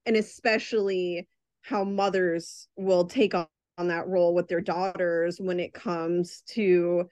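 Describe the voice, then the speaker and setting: A woman's voice: lowish voice, a little mellow, no echo. A woman playwright answering interview questions in a thoughtful, reflective way. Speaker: playwright, guest. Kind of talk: casual interview chat.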